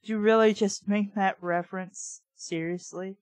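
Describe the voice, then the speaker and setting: A woman's voice: medium pitch, fairly even intonation, normal speed, a little mellow, dry recording. A woman reading a story aloud, breaking in with blunt, sarcastic, casual asides. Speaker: audiobook reader and commentator.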